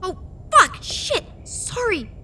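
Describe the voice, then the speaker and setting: A woman's voice: very high-pitched, sing-song, slow pace, heavy background noise, heavily acted. An actress playing a reluctant, sarcastic young woman in a horror audio drama, with a blunt, wry and uneasy delivery. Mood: panicked. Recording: faint music underneath.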